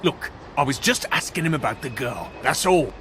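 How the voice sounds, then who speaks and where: An actor playing a cagey, wisecracking hired investigator in a noir video game, with a slangy, streetwise manner. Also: male voice, mid-range voice, animated, normal speed, noisy background, close to an everyday voice. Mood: defensive, agitated.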